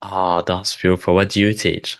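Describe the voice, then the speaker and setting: A man with the flu hosting a casual video call, relaxed and given to laughing and asking thoughtful questions. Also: deep voice, moderate pace, clean tone, no room sound. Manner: relaxed, laughs often, asks reflective questions. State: sick with flu. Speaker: host.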